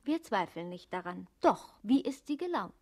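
A logical voice in an experimental radio play. She is a woman of medium pitch, laying out alternatives in a measured but strongly inflected manner. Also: sing-song, darkish tone. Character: crisp female voice posing either-or alternatives. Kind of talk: experimental German radio play, scripted recitation.

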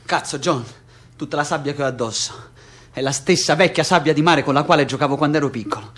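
A man's voice: on the high side, quick pace, fairly bright tone. A man acting in an Italian radio drama, playing a stubborn, worn-out political prisoner with a volatile temper and a blunt, sullen manner. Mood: weary, reflective. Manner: blunt, sullen, foul-mouthed.

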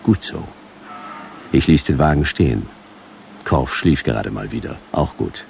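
A man's voice: a bass voice, animated, measured pace, creaky, darkish tone, slight background noise, heavily acted. A man playing a wry, hard-boiled detective who narrates in terse, ironic sentences in a sci-fi radio drama. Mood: watchful, dry.

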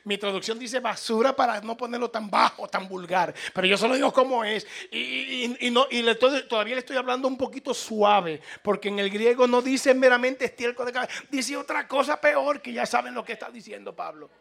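A man's voice: extremely high pitch, fairly quick, vocal fry, hardly any pauses, fairly bright tone. A man with a Latin American Spanish accent preaching an impassioned, informal sermon, emphatic and repetitive, prodding his listeners to respond. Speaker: preacher taking questions from congregation.

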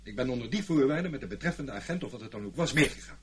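An actor playing a proud, indignant army colonel, curt and demanding, in a Dutch radio play. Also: male voice, medium pitch, lively intonation, very fast, close to an everyday voice. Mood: haughty, demanding. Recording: old radio play recording, faint noise, hum.

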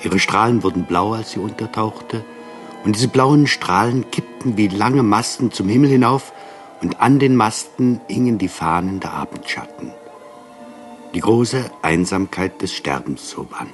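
A man's voice: lowish voice, a little slow, soft background music. A man reading lyrical first-person literary prose in a radio feature.

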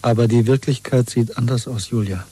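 A man's voice: medium pitch, steady tone, fairly quick, dark tone, husky, close to an everyday voice. An actor in a German radio drama playing a wary, weary man nearing forty who speaks in a guarded, terse way. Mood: bitter, confessional.

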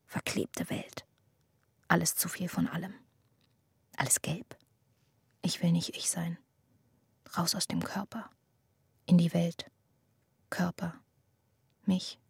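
An actress playing a restless, guarded young woman in a radio drama, breathy and often near a whisper, speaking in clipped fragments. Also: on the low side, steady tone, slow, pauses often, a little mellow, no echo, studio quality, exaggerated voice. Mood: weary, urgent. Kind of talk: intimate radio drama acting.

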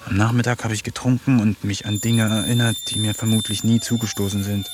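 A man's voice: lowish voice, flat delivery, brisk, noisy background, close to an everyday voice. An actor narrating his diary in a radio drama as its lonely protagonist, in a wry, melancholic way.

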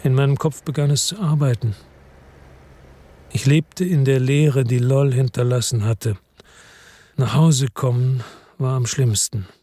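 A man's voice: medium pitch, fairly even intonation, unhurried, long pauses, warm tone. A man narrating a crime radio drama in first person, brooding and weary, his tone turning bitter.

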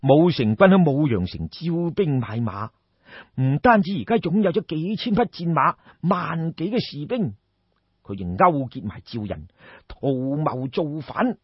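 A man voicing a seasoned, loyal army general in Cantonese, sighing and speaking in a deliberate, measured way. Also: on the high side, very animated, slightly rough, no echo, dull audio, full character voice. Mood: grave. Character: seasoned male army general, grave, weary, firm and loyal.

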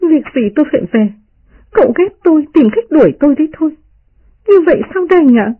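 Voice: sits high, animated, fairly quick, narrow, tinny audio, pitched above the performer's own voice. A performer voicing a stubborn young maidservant woman, tearful, indignant and plaintive, in a storybook reading. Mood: hurt, indignant. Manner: plaintive, protesting.

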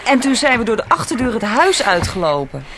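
An actress playing a hurt, reproachful sister who stays at home, clipped in a Dutch radio play. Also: female voice, a little high, sing-song, fairly quick, a crowd in the background. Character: adult sister, bitter and hurt homebody. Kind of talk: radio drama acting.

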